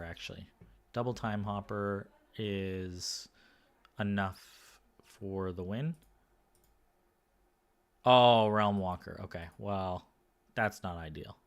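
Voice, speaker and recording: A slightly hoarse man commentating a card game match on his own, casual and thinking aloud, with frequent fillers and restarts. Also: lowish voice. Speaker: game commentator and podcast host.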